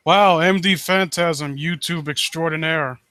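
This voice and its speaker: A man hosting a casual live stream, talking in a halting, rambling way with joking, foul-mouthed asides. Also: fairly high pitch, fairly even intonation, bright, forceful tone, clear, resonant tone, no echo. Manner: rambling, halting, self-interrupting. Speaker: livestream host.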